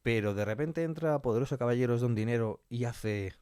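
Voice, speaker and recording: A man's voice: medium pitch, brisk, dark tone, clean tone, dry recording. A man with an accent from Spain chatting casually and telling anecdotes, often repeating words as he searches and quoting dialogue. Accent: Spanish (Spain). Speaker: podcast guest, scriptwriter.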